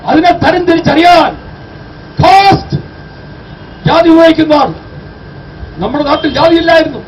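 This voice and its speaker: A man delivers a declamatory public speech in an extremely high pitch. He exhorts the crowd with rhetorical questions. The mic sounds distant, with faint outdoor street noise behind him. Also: normal speed, highs cut off. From Kerala, India. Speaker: activist, public speaker. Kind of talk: impassioned public address.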